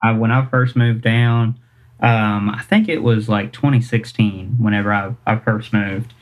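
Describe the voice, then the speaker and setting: Singer-songwriter guy, kinda low voice, rambly and self-deprecating, chuckles a lot. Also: steady tone, a little slow. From Kentucky, US. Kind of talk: casual interview chat.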